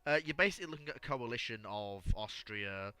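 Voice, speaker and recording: Bright, forceful man, stammering. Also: mid-range voice, lively intonation, a little fast, smooth, no room sound, faint music behind. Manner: stammering, repeats words as he thinks. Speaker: livestream host answering listener questions. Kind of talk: casual alternate-history discussion.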